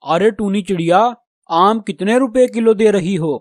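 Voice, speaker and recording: A man's voice: high-pitched, moderate pace, dry recording, studio quality, close to an everyday voice. A man voicing a friendly, chatty male crow who shops for mangoes in a children's cartoon. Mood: friendly, eager.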